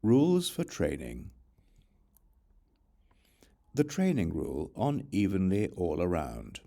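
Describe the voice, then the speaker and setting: A man reading scripture as an audiobook narrator, in a measured, formal recitation. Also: low-pitched, big pitch swings, vocal fry, dark tone. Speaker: audiobook reader.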